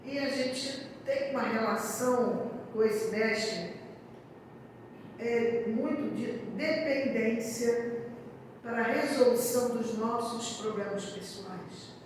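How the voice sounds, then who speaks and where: A hoarse woman with a Brazilian Portuguese accent gives a spiritist talk, speaking deliberately and often checking in with her audience. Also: on the high side. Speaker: spiritist lecturer. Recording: echoey hall, distant mic, background noise.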